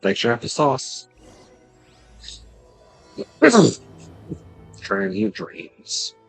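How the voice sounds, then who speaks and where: A man's voice: medium pitch, huge pitch swings, very slow, gravelly, soft background music, low-quality recording. A man giving casual gameplay commentary as a streamer, talking in an offhand, muttering way. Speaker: game streamer.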